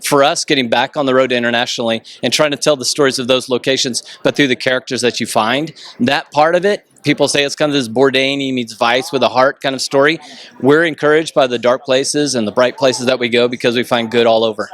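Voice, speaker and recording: A man's voice: medium pitch, fairly even intonation, brisk, a bit bright. A man who co-hosts a travel show, talking eagerly and fluently on a red carpet. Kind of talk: red carpet interview answers.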